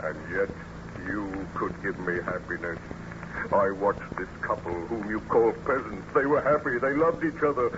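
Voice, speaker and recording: An actor playing a wretched, bitter man-made monster in old-time radio drama, with slow, grave, declamatory speech and formal diction. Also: fairly low pitch, close to an everyday voice. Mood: mournful. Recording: muffled, noisy old radio recording.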